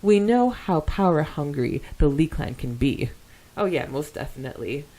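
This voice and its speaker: A woman with a mellow voice that sits a little lower than most. She co-hosts a casual podcast, moving between reading listener comments and adding her own asides. Her delivery is chatty, enthusiastic and prone to giggles. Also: animated, normal speed, poor audio. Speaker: podcast co-host.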